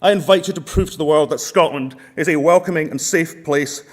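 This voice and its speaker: A man speaks with rousing earnestness to a conference floor, his voice pitched a little high for a man. The delivery is that of a delegate urging support for a motion. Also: fairly quick. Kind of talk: conference speech. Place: large conference hall.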